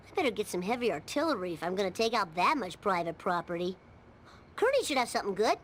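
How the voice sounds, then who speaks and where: A woman voicing a cheeky, brash young boy in an animated comedy game. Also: mid-range voice, quick pace, a little hiss or hum, natural voice. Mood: grumbling. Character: mischievous cartoon boy of about ten, brash slacker.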